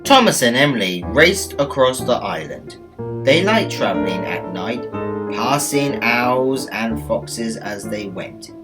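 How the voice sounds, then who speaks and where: A man reading a children's story aloud as a read-along, in a deliberate, expressive storytelling manner. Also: mid-range voice. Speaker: storybook narrator. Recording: music bed behind the voice. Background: music.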